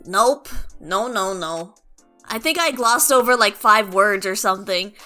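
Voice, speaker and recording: A woman's voice: medium pitch, lively intonation, a little slow, pushed and bright. A woman doing goofy voice acting outtakes, playful and self-deprecating, talking to herself and stumbling over lines, with music behind her. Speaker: voice actor recording roleplay audio. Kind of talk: goofy outtakes, reading takes and muttering to self.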